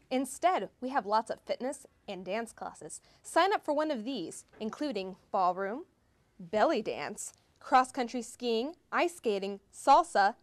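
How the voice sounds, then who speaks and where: A woman doing a scripted mock TV news report as a field reporter, with an exaggerated, peppy delivery. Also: fairly high pitch. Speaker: field reporter in a college's comedic promo newscast.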